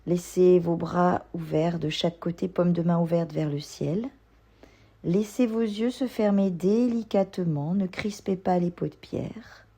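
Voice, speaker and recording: A woman yoga instructor leading a guided relaxation meditation, giving gentle, soothing instructions. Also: fairly low pitch, unhurried, breathy edge.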